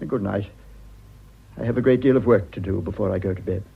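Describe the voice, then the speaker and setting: An actor playing an earnest, self-sacrificing English politician husband in a radio drama, speaking formally with hesitant, measured delivery. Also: male voice, on the low side, vocal fry, husky, full character voice. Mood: firm, tender. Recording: muffled, dull radio drama recording.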